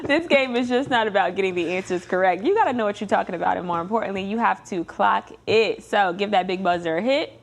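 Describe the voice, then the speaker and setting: A woman's voice: medium pitch, quick pace, few pauses. A woman hosting a game show with casual banter between scripted questions.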